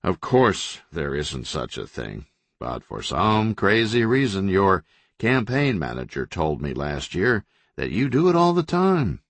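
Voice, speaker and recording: A man's voice: low voice, sing-song, unhurried, no room sound, heavily acted. A man voicing a hot-tempered governor who gives blunt, profane orders and explains things coldly. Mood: cold, even. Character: hot-tempered male state governor, forceful.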